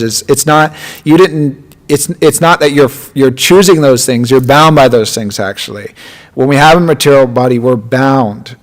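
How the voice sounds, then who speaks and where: Man giving a rambling morning scripture class. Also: medium pitch. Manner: halting, many restarts and fillers. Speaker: class speaker.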